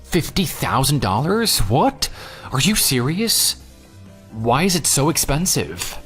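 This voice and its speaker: Man acting as forgiving jilted ex-fiance, animated intonation, earnest, questioning, radio drama dialogue, music behind. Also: mid-range voice, slow. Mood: taken aback.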